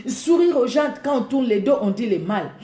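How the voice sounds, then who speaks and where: Female preacher, Congolese French accent, fairly high voice with a warm, mellow tone, roomy echo. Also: big pitch swings, moderate pace. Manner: emphatic, repeats key words, laughs often. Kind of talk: sermon. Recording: echoey room.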